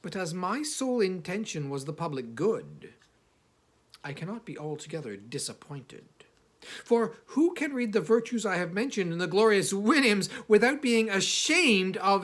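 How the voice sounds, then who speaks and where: A man narrating an old first-person literary text as an audiobook reader, in a measured, formal, oratorical way with emphatic phrasing. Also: on the high side, a bit bright. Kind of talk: scripted narration of an 18th-century travel satire in first person.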